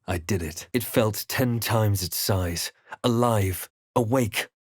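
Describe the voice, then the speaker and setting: A man playing a grave, watchful fantasy adventurer in a video game, narrating in the first person with measured, dramatic delivery. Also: fairly low pitch, no room sound, studio quality. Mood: grave narration.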